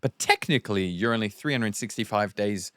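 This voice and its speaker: A man's voice: on the low side, lively intonation, brisk, a bit bright, no room sound, studio quality. A man co-hosting a podcast, chatting casually in a blunt, informal way. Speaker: podcast co-host.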